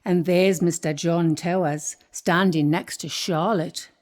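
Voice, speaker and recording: Woman as an older storyteller, mellow lowish voice, takes her time, gentle, radio play. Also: steady tone. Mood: reflective. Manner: unhurried, explaining.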